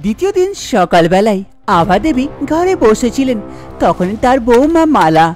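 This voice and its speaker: A woman narrates a scripted cartoon folk tale as storyteller. Her voice is high and very melodic, with music playing behind it. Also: big pitch swings, moderate pace, darkish tone.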